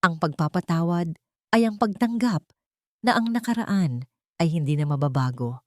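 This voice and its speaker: A woman narrating a sentimental love story in measured, dramatic short phrases. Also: fairly low pitch, expressive, a little mellow, dry recording, clean studio sound.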